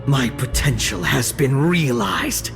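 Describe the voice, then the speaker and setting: An actor voicing a cold, arrogant young male shadow assassin in a game, menacing and measured. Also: mid-range voice, animated, music in the background. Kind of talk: game voice lines.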